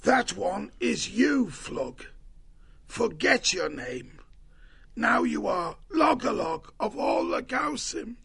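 A wounded shrew leader from an animal storybook, very husky and creaking. The voice sits very high for a man and moves slowly, solemn and commanding. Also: very animated, fairly bright tone, full character voice. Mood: weary, solemn. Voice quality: hoarse, gravelly. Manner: slow, laboured, solemn.

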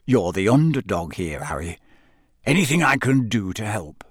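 A man voicing a jovial middle-aged male games official in an audiobook, chummy, booming and theatrical. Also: sing-song, measured pace, no echo, studio quality. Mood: conspiratorial. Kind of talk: acted audiobook dialogue.

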